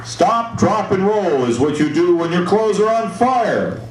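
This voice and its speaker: A man's voice: sits high, lively intonation, unhurried. A man voicing an inquisitive, instructive puppet in a children's safety show, speaking in simple, didactic lines. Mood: earnest, instructive. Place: live show in a room, recorded from the audience. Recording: roomy, distant live show recording, faint noise.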